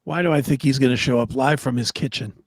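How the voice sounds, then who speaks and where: A man's voice: medium pitch, fast, dry recording, clean studio sound. A man co-hosting a talk show with informal, joking banter and casual questions.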